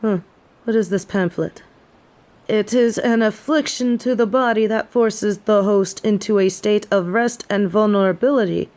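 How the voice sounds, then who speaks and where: A woman's voice: medium pitch, moderate pace, a little mellow, lightly acted. A woman playing a snobby fallen angel who is sick with a head cold, speaking in formal, archaic phrases for an audio drama. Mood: puzzled, weary.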